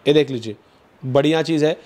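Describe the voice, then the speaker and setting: A man in his thirties hosting a vlog, pitching clothes to viewers in quick, persuasive patter, recorded on a lapel mic in an indoor shop. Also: a little mellow. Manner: fast, persuasive salesman patter addressing viewers. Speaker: vlogger host. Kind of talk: promotional market vlog.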